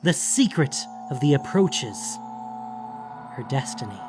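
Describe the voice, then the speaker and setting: A man narrating a fantasy audiobook in a solemn, dramatic, deliberate manner. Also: medium pitch, big pitch swings. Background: music.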